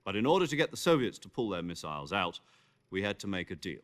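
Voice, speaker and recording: An actor playing a seasoned intelligence major in a video game, briefing an agent in a deliberate, formal manner. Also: male voice, sits low, sing-song. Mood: serious, explanatory.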